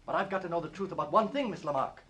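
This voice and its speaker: Fretful, highly animated businessman. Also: rapid-fire. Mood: agitated, worried. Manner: agitated, fussy, pleading. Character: fretful, self-important businessman afraid of scandal. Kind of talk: 1940s radio detective drama acting.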